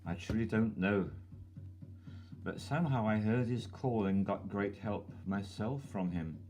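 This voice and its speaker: Low, mellow man reading aloud. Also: a little slow, faint music behind. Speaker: channel host. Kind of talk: reading a listener's email aloud.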